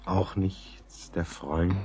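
An actor playing a courteous, rational gentleman writer in a radio drama, speaking in a formal, earnest way. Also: low-pitched, fairly even intonation, very slow, breathy edge, in a whisper, exaggerated voice. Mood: gentle, solemn. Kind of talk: German radio play acting.

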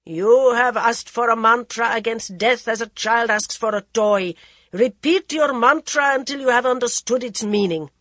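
A woman voicing a stern female ascetic who speaks slowly and gravely, intoning her words. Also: fairly high pitch, a bit bright, no echo, voice pushed up, exaggerated voice.

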